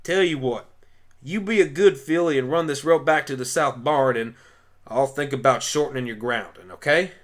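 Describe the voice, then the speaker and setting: A man's voice: medium pitch, normal speed, lightly acted. A man voicing a stubborn, forthright female farm pony with a folksy way of talking in an amateur radio play. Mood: gruff, fond.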